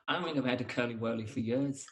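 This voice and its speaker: A man hosts a relaxed podcast conversation. His pitch sits in the middle of the male range, and he talks in an informal, chatty way. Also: a little fast, poor audio. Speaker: podcast host. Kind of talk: casual film chat.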